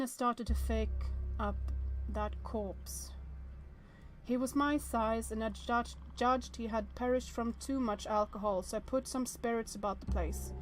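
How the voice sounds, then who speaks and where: A woman game streamer reading a visual novel aloud with casual commentary, often hesitant and thinking out loud in fragments. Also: on the high side, slight background noise.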